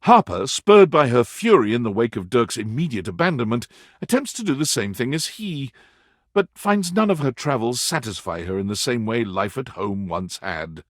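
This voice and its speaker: The narrator of a dating video game, telling the story straight to the player. His medium-pitched voice moves up and down expressively, with measured storytelling phrasing. The sound is dry, with no room around it. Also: very animated, no echo. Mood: wry storytelling. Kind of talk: scripted second-person game narration.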